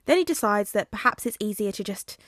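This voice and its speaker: A woman narrates a piece of fiction from a script. Her pitch is average for a woman. The recording is very dry, with little room sound. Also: animated, fairly quick, a bit bright, no echo.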